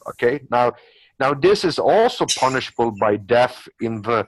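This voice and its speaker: A man's voice: medium pitch, sing-song, normal speed, no echo, rough recording. Rambling man, non-native English speaker. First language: not English. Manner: rambling, repetitive argument with hypothetical examples. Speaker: panelist. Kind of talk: unscripted opinionated discussion.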